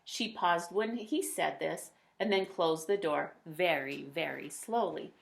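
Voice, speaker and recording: A woman reading a children's picture book aloud, with dramatic emphasis and drawn-out words. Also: fairly low pitch, creaky. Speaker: read-aloud storyteller.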